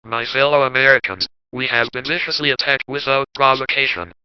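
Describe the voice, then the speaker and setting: A man's voice: medium pitch, steady tone, fairly quick, clean tone, dry recording. A man playing a wounded president who declares total war in a grave, defiant address through a voice aid. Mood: grim. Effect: voice aid after larynx injury. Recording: dull lo-fi broadcast.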